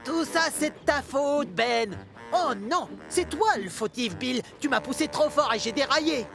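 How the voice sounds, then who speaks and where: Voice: sits high, expressive, unhurried, gravelly, over music, full character voice. An actor voicing cheeky young twin tank engines in a children's cartoon, whining and bickering in exclamations. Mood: accusing. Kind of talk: dubbed children's cartoon dialogue.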